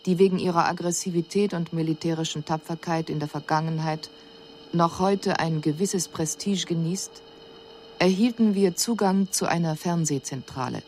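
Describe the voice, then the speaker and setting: An actress playing a detached extraterrestrial envoy in a radio drama, giving an analytical report in precise, sober, formal language. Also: female voice, fairly low pitch, a little mellow, close to an everyday voice. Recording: faint hiss on 1980s radio production.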